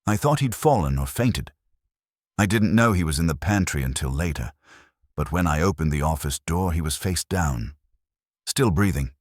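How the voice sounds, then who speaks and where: A man plays a distraught, timid woman giving testimony in a detective audiobook. The voice is low and husky but lifts and wavers in pitch as it haltingly explains itself. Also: expressive, no echo, clean studio sound. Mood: ashamed, anxious. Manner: hesitant, halting, defensive. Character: timid, ashamed, distraught female witness. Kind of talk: audiobook detective story dialogue.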